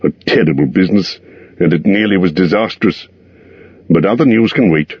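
A man voicing an old male wizard who speaks in a grave, measured way. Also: low-pitched, breathy edge, no room sound. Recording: dull lo-fi recording.

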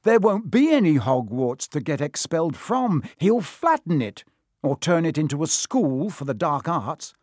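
A man's voice: medium pitch, lively intonation, moderate pace, hardly any pauses, no echo, clean studio sound, natural voice. A man voicing a brave, headstrong schoolboy hero in a storybook reading, speaking with urgent insistence. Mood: impassioned.

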